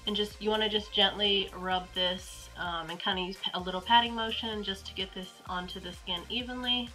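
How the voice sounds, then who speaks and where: Very bright-voiced stuffy young woman. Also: medium pitch, steady tone, moderate pace, soft background music, low-quality recording. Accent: Southern US. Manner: chatty, rambling, with frequent ums and likes. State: stuffy and congested with a cold. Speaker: beauty vlogger. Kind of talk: casual makeup tutorial.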